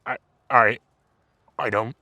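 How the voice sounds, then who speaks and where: A man's voice: medium pitch, fairly even intonation, takes it slowly, pushed and bright, no room sound, exaggerated voice. A man playing an old, indignant and fearful king in a fantasy audio drama, faltering as he clings to his authority.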